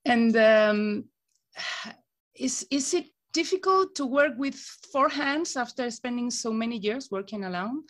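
A woman's voice: a little high, lively intonation, unhurried. Woman interviewing on a headset. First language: Spanish. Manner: hesitant, with many fillers. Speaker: interviewer, host of the channel. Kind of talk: video call interview.

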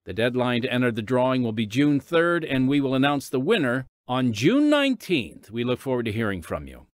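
An American man hosting a podcast, reading scripted radio announcements with measured, emphatic phrasing. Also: mid-range voice, big pitch swings, no echo. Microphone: close studio microphone. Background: music.

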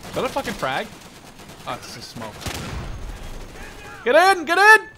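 A man in his twenties giving casual, sweary commentary over first-person shooter gameplay in an excitable manner. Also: extremely high pitch, a little slow, a little hiss or hum. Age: 20s. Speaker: gaming video creator. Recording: loud gunfire and explosions behind. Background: war game sound effects, gunfire, machine guns.

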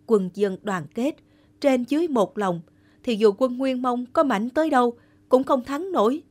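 A woman voicing a stately older male general in an animated folktale, speaking formally with measured authority. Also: on the high side, lightly acted. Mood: grave, approving.

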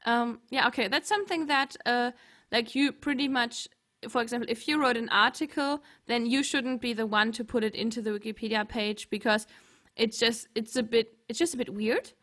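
A woman's voice: fairly high pitch, fairly even intonation, normal speed, a bit bright. A young woman from Germany, a teacher, chatting as an interview guest over a video call with many fillers and frequent giggles. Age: young adult. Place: home room. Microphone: headset with large desk mic.

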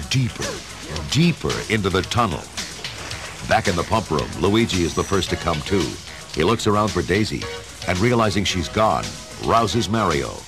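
A man narrating a scripted story dramatically, as in a radio play. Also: low voice. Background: music.

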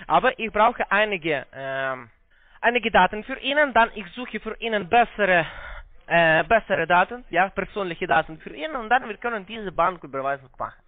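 A man with a foreign accent giving a halting, repetitive, polite phone sales pitch in broken, non-native German. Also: lively intonation, dry recording. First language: not German. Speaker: call center investment salesman on a scam call. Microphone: phone call. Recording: narrow-band phone line sound.

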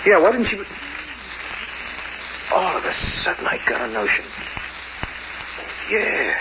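Wry hardboiled noir man, lively delivery. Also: high voice, a little slow, a crowd in the background. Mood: wry narrating. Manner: wisecracking, hardboiled slang. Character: wry, cynical, streetwise male locksmith narrator. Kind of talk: old-time radio noir acting. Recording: noisy, muffled old radio recording.

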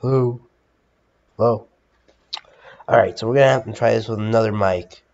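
A man's voice: fairly low pitch, normal speed, highs cut off. A young man chatting casually and swearing as he addresses viewers during prank calls. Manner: informal, sweary. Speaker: prank caller, video host. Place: at a home computer. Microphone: computer microphone. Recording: noisy home desktop mic with clicks.

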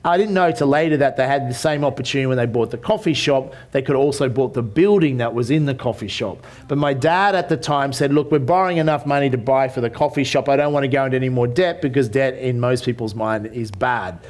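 A man's voice: mid-range voice, quick pace, few pauses. Australian man presenting a business seminar. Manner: casual, conversational, with frequent fillers and restarts. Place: hotel conference room with seated audience.

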